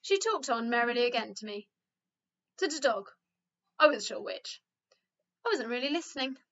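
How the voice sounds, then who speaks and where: A woman teacher reading a children's story aloud in an expressive narrating style. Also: fairly high pitch, moderate pace, lo-fi sound.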